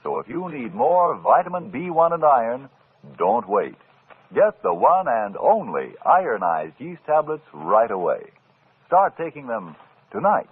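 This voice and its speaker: A man announcing in dramatic, deliberate 1940s radio style. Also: mid-range voice, very animated, slightly rough. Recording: tinny vintage radio broadcast.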